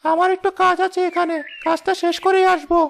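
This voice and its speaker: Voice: very high voice, flat delivery, brisk, vocal fry, a little hiss or hum. An actor voicing a headman's young helper, earnest and righteous, in a cartoon. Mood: indignant. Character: headman's indignant young helper turned whistleblower. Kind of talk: cartoon voice acting. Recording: music behind the voice.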